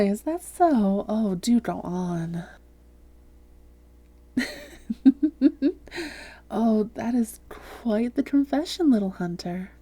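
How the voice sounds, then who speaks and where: A haughty, seductive vampire woman, acted by a woman, speaks in a very dark, soft voice full of breath and fry. She often sinks into a whisper, slowly coaxing and teasing with smug superiority, in the style of an intimate hypnosis roleplay. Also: medium pitch. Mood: probing, teasing. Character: haughty, seductive female vampire hypnotist toying with a captured hunter.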